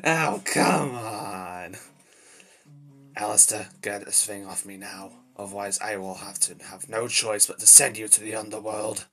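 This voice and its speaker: A man performs a short-tempered male demon devil in a homemade cartoon-style monologue. The voice is rough and raspy with a hard, forceful edge. He blurts and mutters, irritable and easily flustered. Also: lowish voice, flat delivery, moderate pace, few pauses, heavily acted. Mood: pleading, sulky. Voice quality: raspy, gravelly. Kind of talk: amateur character voice acting, improvised monologue.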